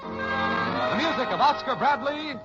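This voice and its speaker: A man's voice: very high voice, sing-song, measured pace. A man announcing a vintage radio show in an emphatic, formal showman style. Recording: dull vintage radio recording with music.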